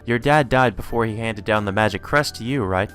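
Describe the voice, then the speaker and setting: A man with a fairly low voice reads a visual novel aloud as a let's player, adding the odd aside. Game music plays softly behind him. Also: brisk.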